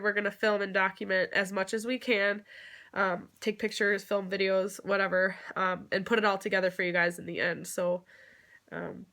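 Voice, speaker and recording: Stuffy-nosed woman chatting brightly. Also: medium pitch. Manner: chatty, many fillers, occasional laughs. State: stuffed up from a cold. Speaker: vlogger. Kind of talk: casual vlog explanation.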